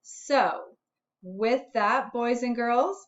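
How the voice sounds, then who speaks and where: A woman with a slightly high voice speaks slowly and deliberately, as a school principal would to young children at story time. Her phrasing is simple and measured. The recording is a little dull and lo-fi. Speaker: school principal reading aloud to pupils. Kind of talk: children's storybook read-aloud.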